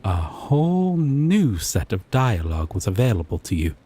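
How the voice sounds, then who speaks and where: A man playing a gentle but obsessive, possessive dating-sim character in an intimate roleplay monologue, with soft breathy delivery and lingering pauses. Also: lowish voice, sing-song. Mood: tender, smitten.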